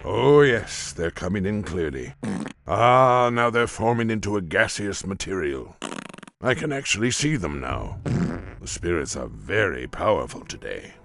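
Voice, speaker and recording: A man's voice: deep voice, sing-song, unhurried, vocal fry, a bit bright, a little hiss or hum, full character voice. An actor playing an eccentric male mystic shaman creature who talks to spirits in a video game. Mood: entranced. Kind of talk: video game unit quotes.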